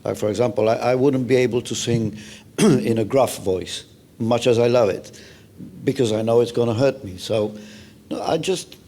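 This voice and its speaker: Mellow Gibraltarian man in casual conversation. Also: mid-range voice, moderate pace. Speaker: interview guest, singer. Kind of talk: casual chat.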